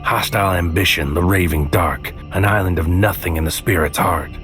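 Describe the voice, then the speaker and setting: Deep-voiced, brooding sci-fi infiltrator. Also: male voice, fairly even intonation, fairly bright tone. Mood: ominous, brooding. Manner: deliberate, terse, brooding, poetic phrasing. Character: brooding, anxious male infiltrator narrating his surreal mind mission. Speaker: narrator and lead character. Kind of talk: scripted first-person noir sci-fi audio drama narration. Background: music under the voice.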